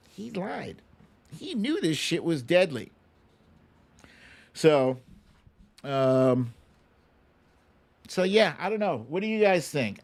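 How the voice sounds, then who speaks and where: An American man hosting a live online talk show, chatting casually with viewers in an opinionated, emphatic, conversational way. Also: fairly high pitch. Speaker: host of a livestream talk show.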